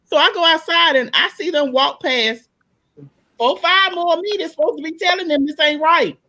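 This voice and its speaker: A woman with a loud speaking voice, talking animatedly in a casual group discussion, acting out the exchanges she retells. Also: very high voice, normal speed, rough recording. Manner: animated storyteller, acts out quoted exchanges.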